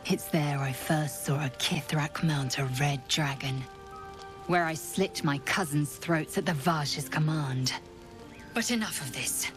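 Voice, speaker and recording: A woman's voice: low-pitched, measured pace, music in the background. An actress playing a haughty, stern alien warrior woman in a fantasy game, with clipped, imperious, formal delivery. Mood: haughty, brooding.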